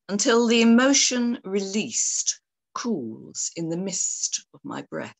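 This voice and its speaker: A woman gives a poetry reading in a slightly low voice. She phrases deliberately and slowly, with lots of melodic rise and fall. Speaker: poet.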